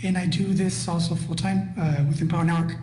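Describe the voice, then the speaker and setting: A man from Guatemala talking casually and haltingly as a participant on a live webinar call. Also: on the high side, flat delivery, a little mellow. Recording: echoey room with faint hum.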